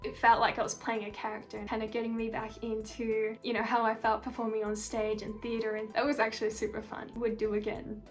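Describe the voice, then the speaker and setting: A woman's voice: fairly high pitch, little pitch movement, fairly quick, faint music behind. A young woman with an Australian accent, a singer answering interview questions in a casual, upbeat, chatty way. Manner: chatty, upbeat, frequent "like" and "kind of".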